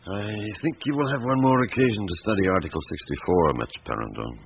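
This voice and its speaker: An actor playing a stern, methodical French police chief inspector who questions with measured authority in a radio drama. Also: male voice, sits low, huge pitch swings, vocal fry, exaggerated voice. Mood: grave, firm. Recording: muffled old radio recording, background hiss.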